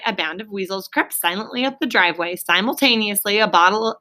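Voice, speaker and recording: A woman reading a children's story aloud like a teacher, with emphatic, deliberate phrasing and explanatory asides. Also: mid-range voice, bright, forceful tone.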